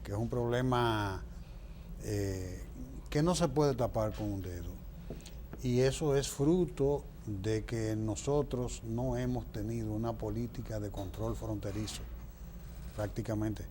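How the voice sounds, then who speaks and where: A man's voice: on the low side, measured pace, warm tone, slight background noise. A man with a Dominican Spanish accent giving opinionated, explanatory political answers as an interview guest, emphatic and fond of rhetorical questions.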